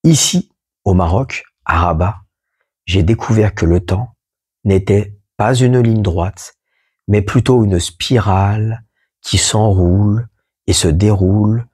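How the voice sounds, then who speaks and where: Male host, low husky voice, slow measured poetic reading with asides. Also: a little mellow. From France. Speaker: podcast host. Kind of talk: reading a poetic first-person text aloud.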